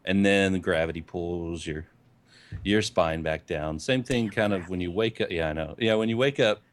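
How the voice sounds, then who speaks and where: Casting: very low-voiced man co-host, groggy from waking, easygoing. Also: normal speed. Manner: relaxed, easygoing, explains at length. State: just woken up. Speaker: podcast co-host. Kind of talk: casual live-stream chat.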